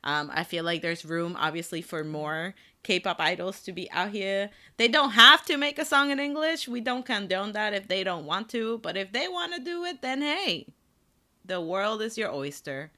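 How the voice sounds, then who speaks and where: A woman's voice: mid-range voice, expressive, moderate pace, sharp tone. A woman co-hosting a chatty podcast, laughing often and acting out her own reactions. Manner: giggly, chatty, acts out reactions. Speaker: podcast co-host. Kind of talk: casual chat.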